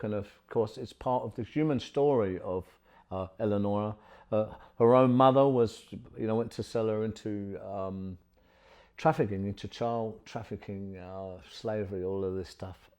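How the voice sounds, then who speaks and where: A middle-aged man with a slightly low-pitched voice hosts an interview from a studio. He talks in an effusive, rambling way, piling up fillers and restarts. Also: fairly even intonation, moderate pace, darkish tone. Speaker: podcast host.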